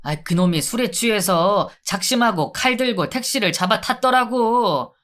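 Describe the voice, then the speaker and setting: A man voicing a sneering, wheedling male convict in drug withdrawal, who taunts and then begs with drawn-out sighs. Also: sits high, lively intonation, a bit bright, pitched above the performer's own voice. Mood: pleading.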